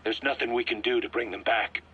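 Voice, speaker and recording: An actor playing an embittered, vengeful military commander in a video game, speaking in a clipped, stern, forceful way. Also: male voice, mid-range voice, steady tone, fairly quick, breathy edge, lo-fi sound. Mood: bitter, resolute. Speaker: military commander briefing his boss. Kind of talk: acted radio-style briefing in a video game. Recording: band-limited radio tape, faint noise.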